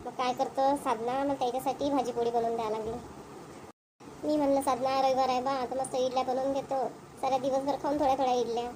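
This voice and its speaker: Village mother for cartoon comedy female performer: high voice, homely gossiping manner, Varhadi Marathi accent, slightly noisy recording. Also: flat delivery, quick pace, lightly acted. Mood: neighbourly. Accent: Varhadi Marathi of Vidarbha. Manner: chatty, homely, repetitive phrasing. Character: chatty village housewives and mothers, homely, mildly exasperated. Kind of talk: amateur cartoon comedy dialogue.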